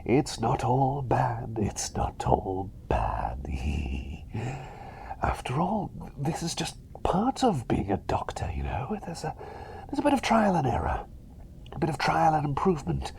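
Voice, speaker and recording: A man plays an eccentric male plague doctor in an ASMR roleplay, murmuring and whispering with a crackly fry. His pitch swings wildly as he rambles, doubles back on phrases and hopes for approval. A faint noisy ambience sits behind the voice. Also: huge pitch swings, slow pace, exaggerated voice. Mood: unsure, reassuring. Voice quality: breathy whisper mixed with soft voice. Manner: hesitant, rambling, repeats phrases, eager for approval.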